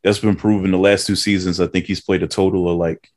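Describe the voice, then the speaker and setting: A man co-hosting a podcast in casual chat, blunt and profane with drawn-out reactions. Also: sits low, flat delivery, darkish tone. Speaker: podcast co-host.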